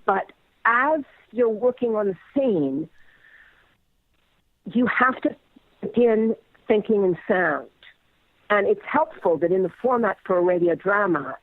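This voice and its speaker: A woman being interviewed as a writer, explaining her craft conversationally and deliberately, pausing mid-thought. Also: mid-range voice, no echo. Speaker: interview guest, audio playwright. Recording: narrow phone-like band.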